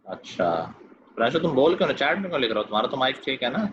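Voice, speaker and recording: A man's voice: mid-range voice, very animated, rapid-fire, a bit bright, a little hiss or hum. A male teacher running an online math class and teasing his students. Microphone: video call. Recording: lo-fi video call.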